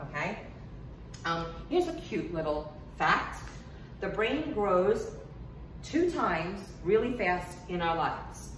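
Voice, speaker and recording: Woman teacher, first language Portuguese, lowish voice, home lesson for kids, recorded from a little way off in an echoey room. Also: distant mic, noisy background. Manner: keeps asking "right?" to check in with students. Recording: echoey room, voice from off the mic, faint ambience.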